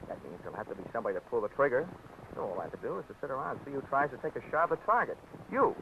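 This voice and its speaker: A man's voice: medium pitch, animated, racing, gravelly. An actor playing a hard-boiled male insurance investigator who talks in dry, easygoing wisecracks. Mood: cocky. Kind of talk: 1940s radio acting. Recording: tinny 1949 radio broadcast with faint hiss.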